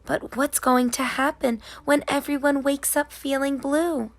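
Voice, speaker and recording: A woman reading as a busy, whimsical, gently scolding tooth fairy in a children's storybook, in rhyme. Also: high-pitched, steady tone, measured pace, faint music behind, pitched above the performer's own voice. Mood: queasy, worried. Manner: sing-song rhyming delivery. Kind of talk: children's storybook narration in character.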